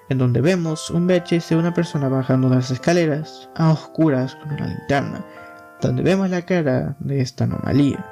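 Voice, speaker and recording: Male commentary narrator, soft mellow tone, a bit higher-pitched, light music bed. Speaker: YouTube host. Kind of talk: scripted video narration and commentary on horror series.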